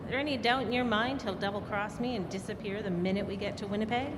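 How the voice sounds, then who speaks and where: A woman's voice: mid-range voice, fairly even intonation, quick pace, close to an everyday voice. A woman playing a shrewd, scheming double-crosser in an old-time radio drama, recorded in a hall. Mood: conspiratorial, frank. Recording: noisy, echoey live hall recording.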